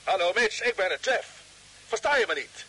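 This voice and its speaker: Vintage radio serial: commanding expedition leader, very animated delivery, brisk orders, noisy old recording. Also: male voice, high voice. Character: urgent, commanding male spaceship commander. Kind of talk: vintage radio drama acting.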